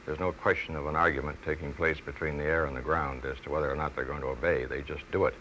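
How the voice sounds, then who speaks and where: A middle-aged man, a former pilot, gives measured interview answers in a halting, formal, carefully worded way. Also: rumbling low, steady tone, bright, forceful tone, lo-fi sound. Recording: faint noise, 1970s archive TV film.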